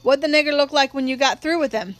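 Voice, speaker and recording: A woman's voice: high voice, quick pace, a bit bright, dry recording. A woman voicing a contemptuous male prosecutor in an audiobook, with condescending, insinuating questions. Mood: needling, scornful.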